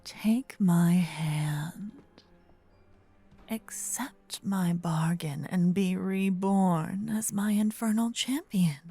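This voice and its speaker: A woman playing a possessive demon queen spirit in a fantasy ASMR roleplay, breathy, smug, teasing and regal. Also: lowish voice, very slow, mellow, soft background music, exaggerated voice. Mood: coaxing, possessive.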